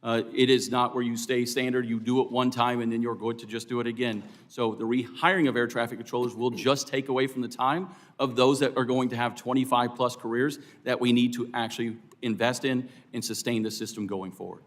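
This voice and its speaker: A man's voice: mid-range voice, little pitch movement, brisk, few pauses. An American man testifying as a union official at a hearing, explaining earnestly and emphatically in formal but unscripted answers. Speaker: air traffic controllers' union official testifying as witness. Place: congressional committee hearing room.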